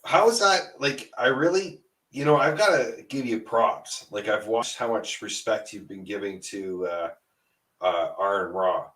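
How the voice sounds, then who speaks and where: A man's voice: on the low side, measured pace, a bit bright, far from the mic, low-quality recording. A man telling a story with much laughter and animation as a guest in a casual stream chat, on a video call.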